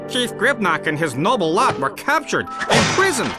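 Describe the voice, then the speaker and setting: A man voicing an excitable alien villager who tells old tales with exuberant melodrama in a video game. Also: high-pitched, a little slow, loud music behind the voice, full character voice. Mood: excitable, melodramatic. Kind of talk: video game cutscene acting.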